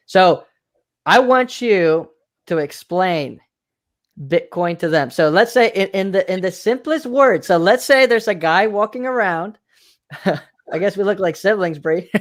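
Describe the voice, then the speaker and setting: A man from Mexico hosting a podcast interview, talking casually and repeating himself for emphasis. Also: high voice. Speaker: podcast host.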